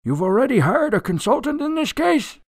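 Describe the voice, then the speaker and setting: An actor playing a glib, obliging storage facility owner in a radio drama, eager to please. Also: male voice, extremely high pitch, sing-song, fairly quick, dry recording, studio quality, heavily acted.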